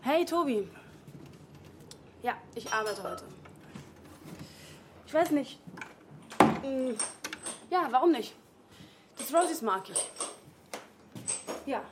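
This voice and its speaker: A woman's voice: high-pitched, animated, slow pace, long pauses, echoey room, heavy background noise. An actress in her twenties playing a defiant, evasive young woman in a naturalistic radio drama. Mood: breezy. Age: 20s.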